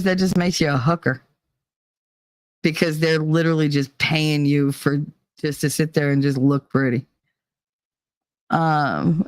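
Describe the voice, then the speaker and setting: A woman's voice: deep voice, a little mellow, dry recording. A middle-aged woman hosting a livestream, reading chat and ranting bluntly, mocking people with laughter and put-on voices. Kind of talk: casual opinionated livestream chat.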